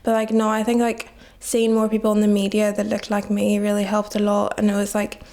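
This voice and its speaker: A woman's voice: mid-range voice, monotone, fairly quick, mellow. A nineteen-year-old woman from Nigeria, reflecting in a casual interview and saying "like" a lot. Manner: heavy use of "like" as filler, reflective. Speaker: guest.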